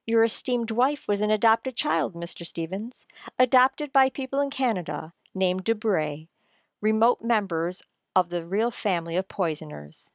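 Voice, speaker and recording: A woman playing a shrewd writer-sleuth who explains things theatrically and deliberately in an old-time radio mystery. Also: medium pitch, expressive, gravelly, no echo, clean studio sound. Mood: knowing, suave.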